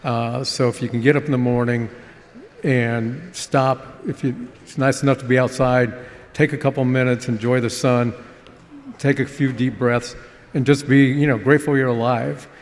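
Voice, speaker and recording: Older man on a panel, folksy and relaxed, mid pitch, hall reverb. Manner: easygoing, folksy, with many "uh" pauses. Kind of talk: conference panel discussion. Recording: long reverb of a conference hall.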